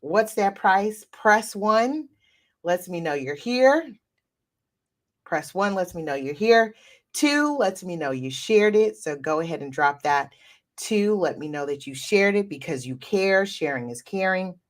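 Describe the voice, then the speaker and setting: A woman's voice: medium pitch, expressive, a little slow. A woman hosting a livestreamed real estate show, congested and nasal from a cold, upbeat and repeating phrases as she talks straight to her audience on a desk mic. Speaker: real estate podcast host. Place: home office with a computer. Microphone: desk microphone.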